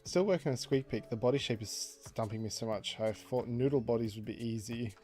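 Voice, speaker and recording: A man casually chatting on a livestream while he draws, reading out chat messages, laughing often and jumping up in pitch for jokes. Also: a little fast, mellow, soft background music.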